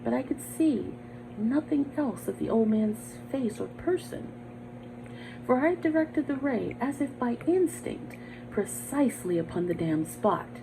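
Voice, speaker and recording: Gravelly-voiced woman reading gothic horror, noisy recording. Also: a little high, expressive. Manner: theatrical, intense, with drawn-out words and shifts between hushed and loud. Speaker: reader, channel host. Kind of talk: dramatic narration of a gothic horror short story. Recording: noisy home recording with clear hum.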